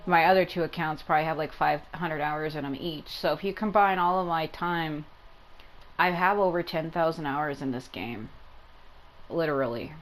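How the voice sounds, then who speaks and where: A woman's voice: sits low, normal speed. A woman giving casual gameplay commentary as a video game streamer, rambling and repeating herself for emphasis.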